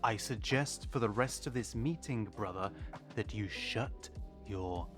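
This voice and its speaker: A man playing a stern, controlled mafia twin in an audio roleplay, deliberate, formal and coldly threatening. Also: lowish voice, music in the background, lightly acted. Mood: cold warning.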